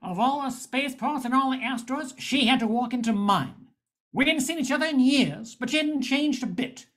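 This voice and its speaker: A woman's voice: high voice, lively intonation, normal speed. A woman playing a wry, jaded male bar owner in a space-noir radio spoof, narrating and speaking in scenes. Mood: narrating, wistful. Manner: wry, hard-boiled.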